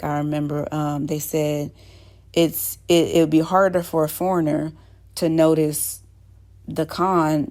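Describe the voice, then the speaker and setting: A woman's voice: sits low, mellow. A woman host talking casually to her viewers, rambling with many fillers and restarts. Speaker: channel host. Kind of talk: casual talk to camera.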